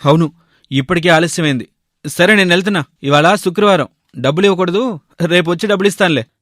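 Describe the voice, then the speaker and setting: Guy acting a picky bachelor shopkeeper in a cartoon story, highish voice, complains a lot, bossy. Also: fast talker, fairly bright tone, dry recording, studio quality. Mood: fussy. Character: food-loving bachelor shopkeeper, fussy and grumbling.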